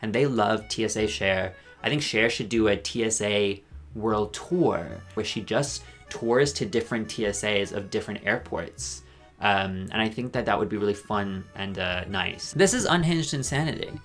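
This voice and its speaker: Male youtuber, sarcastic commentary, rambling, soft music under. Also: lowish voice, normal speed. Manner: rambling, sarcastic, deadpan asides. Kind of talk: ranking commentary.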